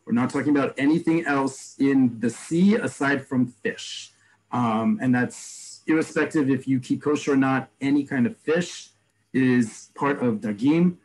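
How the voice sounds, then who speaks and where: A man teaching an online class, explaining terms and repeating each word slowly. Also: mid-range voice, a little mellow, husky, rough recording. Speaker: Hebrew teacher. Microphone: video call.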